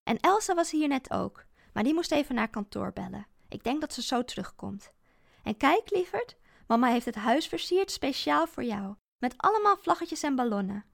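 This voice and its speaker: A frantic mother near breaking point, as a woman reader puts her on, her voice climbing high and shrill with desperation. The voice is gravelly with heavy fry and sits close and dry. Audiobook character reading. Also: very animated, normal speed, no echo, full character voice. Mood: anxiously coaxing. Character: frantic, unstable young mother clinging to her child.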